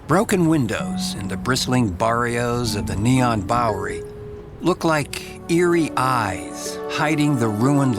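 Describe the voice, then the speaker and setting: A brooding narrator of a cinematic radio story. He speaks very slowly at a medium pitch, with measured, ominous, atmospheric phrasing. Music runs clearly beneath the voice. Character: brooding, poetic male noir narrator. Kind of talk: scripted dramatic narration.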